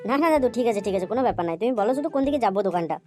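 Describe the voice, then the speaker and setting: A woman's voice: mid-range voice, expressive, very fast, a little mellow, faint music behind, lightly acted. A woman voicing a hapless, wheedling, crude young male boozer in a comedy cartoon. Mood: polite, pleading. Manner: pleading, grumbling, repetitive. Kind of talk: comedy cartoon dialogue and monologue.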